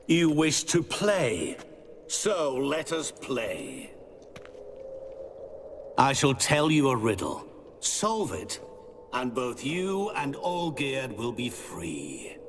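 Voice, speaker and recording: An actor playing a sinister, playful immortal devil in human guise in a video game, taunting with riddles and savoring every word. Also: male voice, mid-range voice, wildly swooping pitch, drawn-out words, long pauses, slight background noise, heavily acted. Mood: menacingly playful. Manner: theatrical, mocking, savoring words. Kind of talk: dramatic video game acting.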